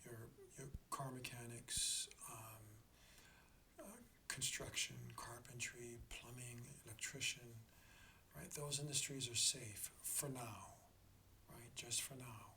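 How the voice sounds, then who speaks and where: A slightly hoarse man hosting a reflective spiritual talk, speaking in a halting, deliberate way and often checking in with the listener. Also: medium pitch, fairly even intonation, pushed and bright, a little hiss or hum. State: slightly hoarse after a throat infection. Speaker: host of a spiritual learning channel.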